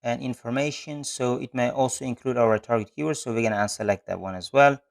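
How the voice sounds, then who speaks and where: A man presenting an online software tutorial, explaining each step methodically and repeating key points. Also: mid-range voice, fast talker, vocal fry. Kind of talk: step-by-step screen-share tutorial narration. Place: voice-over on a screen recording.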